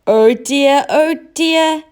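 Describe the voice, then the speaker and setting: Woman doing a high, earnest storybook clown. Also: drawn-out words, darkish tone. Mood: tearful, confused. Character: confused, forgetful male circus clown, emotional and earnest. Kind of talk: children's storybook character voice.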